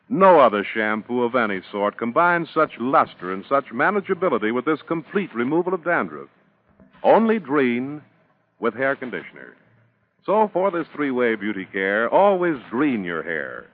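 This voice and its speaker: A male announcer sells with polished emphasis in 1940s radio style. His mid-pitched voice is very animated. It comes through a thin, tinny broadcast with faint music.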